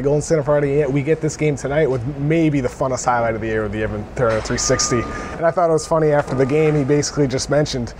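A man's voice: mid-range voice, darkish tone. A man giving casual, analytical basketball commentary as a guest on a panel. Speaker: sports writer, panel guest. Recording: faint urban background noise.

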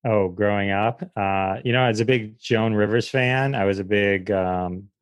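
American guy, lowish voice, casual interview talk, repeats himself a bit, very dry sound. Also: moderate pace, smooth, no echo. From Michigan, United States. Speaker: comedian and podcaster, guest.

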